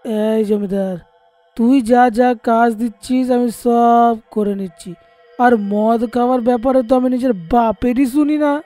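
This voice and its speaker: Man playing an insolent, dark-toned drunkard gardener. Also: very high-pitched, fairly even intonation, measured pace, faint music behind. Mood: defiant. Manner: rude, defiant, whining for money. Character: insolent drunkard male village gardener, quarrelsome. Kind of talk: animated horror story voice acting.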